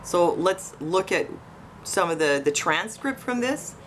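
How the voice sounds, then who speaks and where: A woman with a fairly low voice hosts an informal investigative commentary for online viewers. She speaks conversationally, posing questions and speculating as she goes. A faint buzzing noise sits behind her voice. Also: animated, unhurried. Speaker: online video host. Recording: noisy, buzzing tree-cutting outside. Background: buzzing from tree cutting outdoors.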